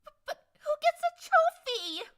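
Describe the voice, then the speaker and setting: A woman's voice: very high voice, big pitch swings, measured pace, a bit bright, exaggerated voice. A woman voicing a boastful, whiny female pony magician in a cartoon-style fan audio drama, theatrical, brash and petulant.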